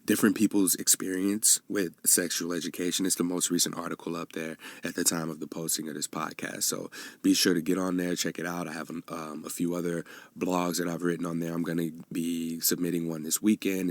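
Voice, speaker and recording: Deep-voiced chatty man hosting a podcast. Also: dry recording. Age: 30s. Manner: chatty, laughs easily, rambling asides. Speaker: podcast host. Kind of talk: casual podcast chat.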